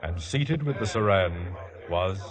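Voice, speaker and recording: An actor playing a grave scriptural storyteller, narrating a 1950s radio drama in solemn biblical cadence. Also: male voice, low voice, animated, unhurried, crowd noise. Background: music.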